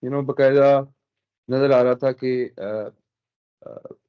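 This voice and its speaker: A man's voice: medium pitch, steady tone, extremely slow, clear, resonant tone. A man taking part in a casual discussion, speaking reflectively and thinking aloud as he goes. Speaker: guest. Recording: dull lo-fi audio.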